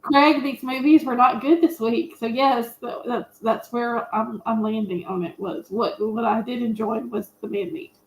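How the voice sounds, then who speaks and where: Female movie-show co-host, slightly high voice, folksy casual chat, very roomy sound. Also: steady tone, measured pace, few pauses. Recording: echoey room sound.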